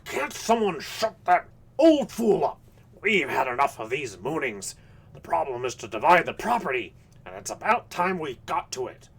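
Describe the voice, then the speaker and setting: A man's voice: fairly high pitch, big pitch swings, normal speed, creaky, husky, pitched above the performer's own voice, exaggerated voice. Man acting a sputtering, apoplectic storybook grouch. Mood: furious, impatient. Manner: sputtering, scornful. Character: apoplectic, impatient, sneering male cousin in a storybook.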